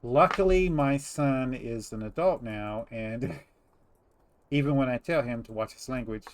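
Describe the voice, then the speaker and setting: A man's voice: medium pitch, measured pace, slight background noise. A middle-aged man chatting casually over gameplay as a host, rambling and teasing as he goes. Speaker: gaming host.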